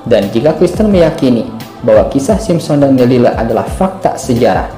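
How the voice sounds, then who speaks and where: A man narrates a scripted argument in formal, bookish language. His middle-pitched voice carries a clear room echo. Faint music plays behind him. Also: lively intonation, moderate pace, dark tone. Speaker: video narrator.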